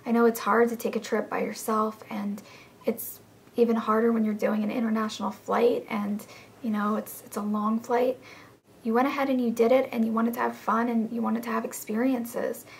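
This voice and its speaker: Young woman, hoarse, gravelly fry, fairly high voice, earnest encouraging vlog talk, breathy whispers. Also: level tone, normal speed. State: losing her voice, hoarse. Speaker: vlogger in a group channel. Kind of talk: heartfelt casual video message to friends.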